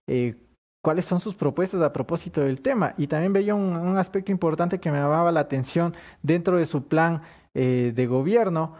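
A man's voice: on the high side, darkish tone. A man interviewing a candidate, asking long, formal, polite questions with some hesitation. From Ecuador.

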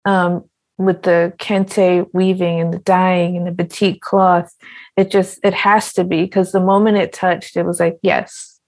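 Woman, low gravelly voice, slow and even, reflective storytelling. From partly Ghanaian heritage. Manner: slow, musing, with fillers and repeats. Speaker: quilt artist guest.

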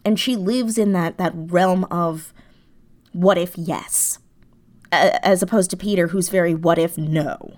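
A woman chatting casually as an interview guest, effusive and giggly, talking in halting bursts. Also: fairly low pitch, steady tone, a little mellow. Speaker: interview guest, audio drama creator.

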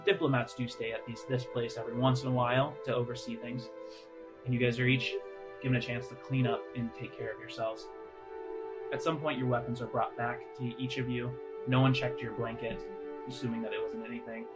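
A man acting as game master, describing scenes in a halting, conversational, improvised way. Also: mid-range voice, flat delivery. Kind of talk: improvised tabletop game narration. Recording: music playing under the voice. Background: background music.

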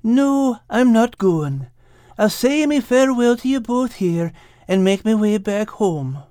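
Storybook cat played by a woman: anxious little fellow, homespun speech, raised pitch, lively swings. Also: a little slow, no echo, clean studio sound. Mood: stubborn, sad. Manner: folksy dialect wording. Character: timid, anxious male cat, plain-spoken and stubborn.

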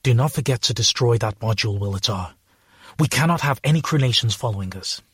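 A man's voice: mid-range voice, lively intonation, quick pace, breathy edge, no echo, studio quality. A man playing a watchful alien rebel in an audio drama, clipped and urgent.

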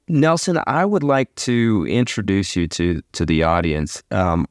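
A man hosting a podcast, introducing his guest and asking questions in a friendly, slightly halting way. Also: low-pitched, clear, resonant tone, no room sound, clean studio sound. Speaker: podcast host. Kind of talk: interview hosting.

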